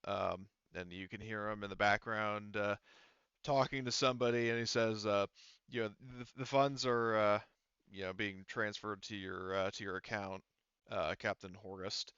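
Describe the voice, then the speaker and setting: A man acting as game master, improvising narration for a tabletop roleplaying game with halting, hesitant phrasing. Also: on the low side, steady tone, fairly bright tone, clear, resonant tone, no echo, muffled recording.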